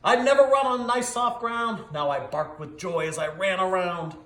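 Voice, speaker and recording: A man voicing a big, eager, clumsy dog telling his own story in an expressive, rhythmic storybook read-aloud with a roomy echo. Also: sits high, moderate pace. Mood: joyful. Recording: echoey, roomy sound.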